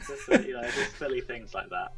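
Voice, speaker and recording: A man's voice: mid-range voice, fairly quick, a bit bright, soft background music. A man chatting casually as a guest game developer in an interview, modest and a little hesitant in his manner.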